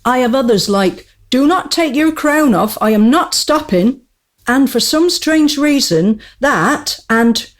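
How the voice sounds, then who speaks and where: A woman's voice: high voice, lively intonation, slow pace. A woman playing a small, witty court jester in a radio play, speaking in a wry, mock-courtly way. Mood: wry, amused.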